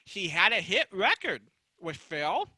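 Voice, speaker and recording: A man moderating a panel, introducing guests off the cuff in a halting, conversational way. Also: high-pitched, sing-song, pushed and bright, husky, no room sound.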